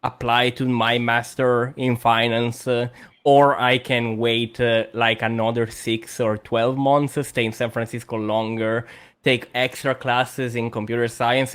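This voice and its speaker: A young man from Italy with an Italian accent, chatting casually as a podcast co-host in halting, hesitant phrases. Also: medium pitch, a bit bright, clean tone. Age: young adult. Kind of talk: casual conversational podcast talk.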